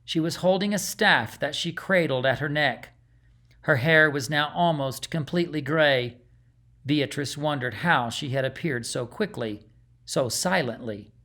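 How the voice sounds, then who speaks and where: Male narrator, fantasy audiobook, scripted storytelling, measured and dramatic delivery. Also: a little high, bright, forceful tone.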